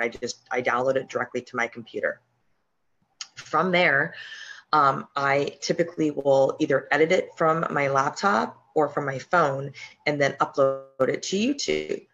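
A hoarse woman with a slight sore throat talks casually through a live-stream screen share, with frequent ums and restarts as she explains her steps. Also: low voice, fairly bright tone, lo-fi sound. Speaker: podcast host and online business coach.

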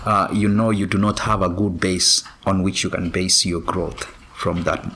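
A man from Kenya, a former professional runner, answering interview questions in a measured way with frequent pauses. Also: low voice, fairly even intonation, fairly bright tone, slight background noise. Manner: measured, many pauses and ums.

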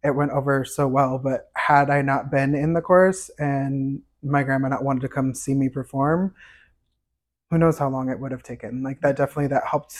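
A man with a light, fairly high tenor voice talks casually as a podcast guest. He rambles through stories with plenty of fillers. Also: fairly even intonation, moderate pace. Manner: chatty, storytelling with fillers and laughs. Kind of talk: casual interview chat.

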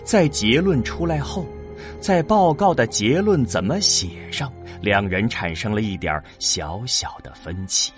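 Casting: male radio-drama narrator, unhurried, expressive intonation, music underneath. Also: medium pitch. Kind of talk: scripted dramatic story narration.

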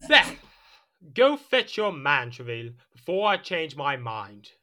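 Jealous, petulant monarch in audio drama: indignant, theatrical, very forceful tone, swooping intonation. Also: male voice, on the high side, very animated, measured pace, exaggerated voice. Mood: brisk. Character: petulant, jealous, suspicious French king. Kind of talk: audio drama period acting.

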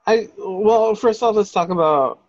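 A man's voice: sits high, a little slow, dry recording, lo-fi sound. A man co-hosting a podcast in casual chat, talking in a heated, profane, rambling way. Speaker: podcast co-host.

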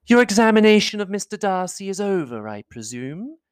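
A man's voice: sits high, very animated, moderate pace, clean tone, no echo, voice pushed up. Haughty young society woman, read by a man; affected, swooping, dry close sound. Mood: sneering.

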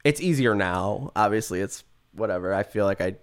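A young man, a songwriter guest, talking in an easygoing, agreeable way. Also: brisk. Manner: easygoing, agreeable, lively pitch. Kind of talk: casual chat.

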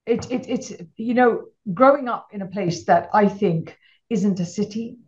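A woman's voice: mid-range voice, a little slow, dull audio. A middle-aged woman from Malawi, a guest entrepreneur, telling stories in casual interview chat with many restarts. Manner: rambling, frequent restarts, animated storytelling.

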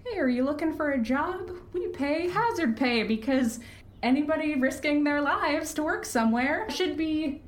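A woman's voice: high-pitched, lively intonation, normal speed. A woman talking candidly as an interview guest, earnest and emphatic in how she explains things. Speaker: podcast creator, interview guest. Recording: roomy, echoey sound.

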